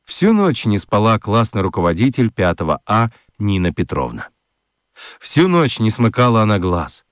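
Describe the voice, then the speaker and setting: A male narrator tells a children's story for radio. His voice is on the low side and moves expressively up and down, recorded close and dry. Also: dry recording.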